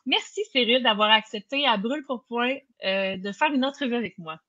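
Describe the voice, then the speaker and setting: A woman's voice: fairly high pitch, fairly quick, sharp tone. A woman hosting a live online interview over a video call, friendly and enthusiastic, with filler words. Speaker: host of the interview. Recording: dull lo-fi video call audio.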